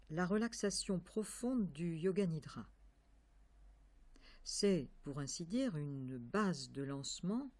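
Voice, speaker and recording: Very slow-paced woman guiding relaxation. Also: lowish voice. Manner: calm, measured, instructing in short separate phrases. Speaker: yoga nidra instructor. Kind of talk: guided relaxation meditation.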